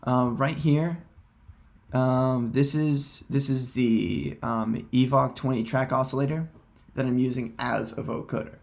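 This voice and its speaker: Man with a creaky voice, casual tutorial. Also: mid-range voice, steady tone, a little slow. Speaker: tutorial presenter.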